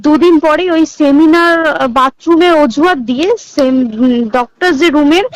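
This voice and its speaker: A woman's voice: high-pitched, moderate pace, no echo. A woman with a West Bengal accent speaking casually and emphatically as a hospital staffer on a recorded phone call, often checking that her listener follows. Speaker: hospital staff member recounting events.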